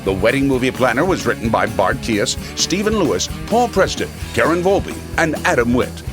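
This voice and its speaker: A man's voice: medium pitch, animated, normal speed. Showy male announcer over music. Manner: showy, tongue-in-cheek. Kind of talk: scripted podcast credits announcement.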